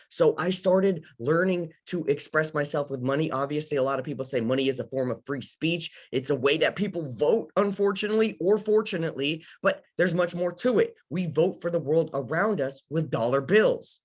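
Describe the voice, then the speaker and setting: A young man from Ukraine teaching a casual live lesson for beginner investors, talking straight to his audience. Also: fairly high pitch. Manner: casual, talks to the audience, many fillers and restarts. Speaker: host of an investing workshop.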